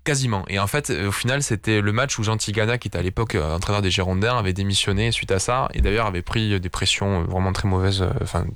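A man talks football as a studio guest, rattling along at high speed with many restarts and fillers. His voice sits on the low side. The sound is close and dry. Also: a bit bright, clear, resonant tone, no echo. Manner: fast, many restarts and fillers, enthusiastic storytelling. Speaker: football pundit. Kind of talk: casual sports talk. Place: radio studio.

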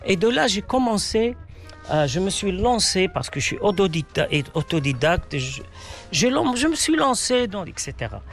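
A man's voice: sits high, big pitch swings, normal speed, gravelly, soft background music. A man whose first language is Arabic, a playwright answering interview questions in a hesitant way, with many fillers and restarts. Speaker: playwright guest.

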